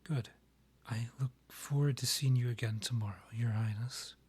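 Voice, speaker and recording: A man playing a courtly, lovestruck vampire king in a romantic ASMR roleplay, half-whispering in a breathy voice with formal, pleading phrasing. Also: mid-range voice, fairly even intonation, normal speed, a little mellow. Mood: smitten, courting. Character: lovestruck male vampire king, courtly, yearning, tender suitor.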